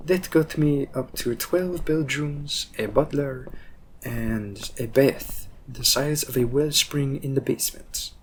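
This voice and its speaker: Man playing a slow-talking, wry, cocky assassin. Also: medium pitch, a little hiss or hum, rough recording. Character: cocky, teasing male assassin, loyal and world-weary. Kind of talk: roleplay audio drama monologue.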